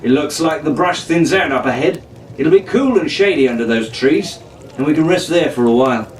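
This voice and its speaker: A man's voice: a little high, lively intonation, a little fast, natural voice. Man playing a brash teenage pirate captain, echoey. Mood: forced cheer. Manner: whining, flamboyant, bossy. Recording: echoey room, distant mic, faint hiss.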